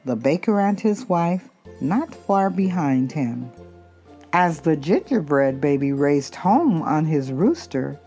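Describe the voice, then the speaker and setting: A woman's voice: low-pitched, dark tone. A woman reading a children's picture book aloud expressively, drawing out key words. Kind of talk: storybook read-aloud.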